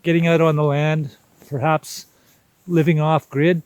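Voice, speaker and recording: Slow-spoken man in his sixties. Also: fairly high pitch, level tone, a little mellow, clean tone, no room sound. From Canada. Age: 60s. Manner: unhurried, halting, contemplative, with deliberate pauses. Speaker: vlog host. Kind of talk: reflective personal vlog monologue.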